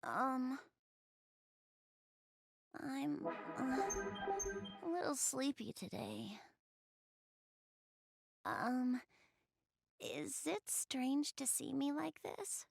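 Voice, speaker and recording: High-pitched, bashful anime goddess girl. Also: expressive, gravelly, a little hiss or hum, heavily acted. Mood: sleepy, bashful. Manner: hesitant, bashful, halting. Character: shy, flustered young goddess girl, soft-spoken, easily embarrassed. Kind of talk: anime video game voice acting, addressing the player.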